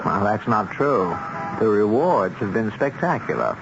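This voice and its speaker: An actor playing a cold, smooth-talking boss of contract killers, measured and quietly menacing in a radio drama. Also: male voice, on the low side, over music. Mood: coldly persuasive. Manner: calm, measured, coaxing, menacing. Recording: dull, noisy old radio broadcast recording.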